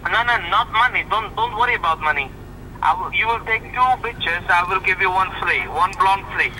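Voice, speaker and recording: Man putting on a high male voice, brash Russian pimp, badgering, broken English, prank phone call, noisy speakerphone. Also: moderate pace, bright, forceful tone. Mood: incredulous, pushy. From Russia (claimed in character). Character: brash, taunting Russian pimp named Anatoly.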